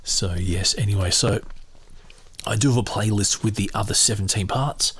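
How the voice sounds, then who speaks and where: A man with a deep voice hosting his podcast, talking in a relaxed, rambling way with hesitations and self-corrections. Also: moderate pace, breathy edge, dry recording. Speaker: podcast host. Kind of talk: casual podcast chat.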